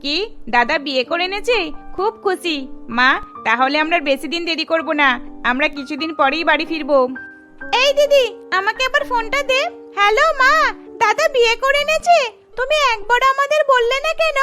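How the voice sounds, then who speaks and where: A woman voicing an excitable, pouting young student sister in a melodramatic cartoon, animated and chiding. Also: very high-pitched, moderate pace, faint music behind, exaggerated voice. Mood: excited, pouting.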